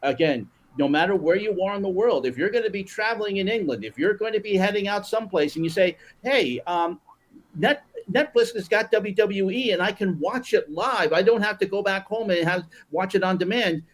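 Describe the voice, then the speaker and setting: Guest guy on a sports pod, kinda high voice, excited, keeps restarting. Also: brisk. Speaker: guest commentator. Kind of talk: podcast guest chat.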